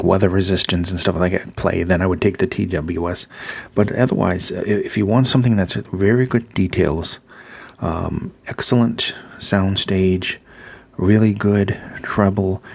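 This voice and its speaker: A man with a Massachusetts accent talks through a casual gear review. His voice sits very low and has a gravelly edge. He fills his pauses with ums and uhs and repeats his words of praise.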